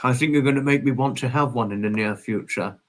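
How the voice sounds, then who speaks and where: A man's voice: mid-range voice, fast. A man in his twenties chatting casually as a livestream host, reading and answering viewers, effusively polite and wordy, often repeating his phrases.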